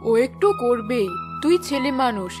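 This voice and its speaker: A woman playing a kindly older mother-in-law who gently chides and advises in a Bengali cartoon. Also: on the high side, normal speed, over music, natural voice. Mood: gently advising.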